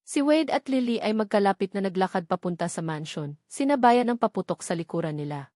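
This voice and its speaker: A woman's voice: medium pitch, expressive, brisk, dark tone, dry recording, clean studio sound. A woman with a Filipino accent reading scripted novel narration as an audiobook narrator.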